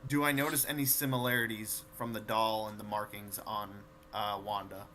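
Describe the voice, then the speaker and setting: A man's voice: fairly low pitch, level tone, moderate pace, sharp tone, a little hiss or hum. A man playing a tabletop game, casually describing what his character does and says.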